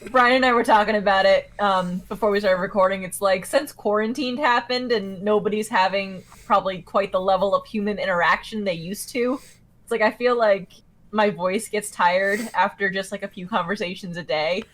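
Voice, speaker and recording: A woman's voice: mid-range voice, normal speed, a bit bright. A woman co-hosting a podcast, retelling a TV episode in a chatty way and laughing often. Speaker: podcast co-host. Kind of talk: casual episode recap.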